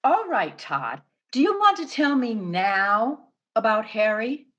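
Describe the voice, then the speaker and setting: A woman's voice: a little high, big pitch swings, slow, muffled recording. A woman playing an anxious, affectionate sweetheart in an old-time radio drama. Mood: concerned, probing. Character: anxious, affectionate woman worried about a missing man.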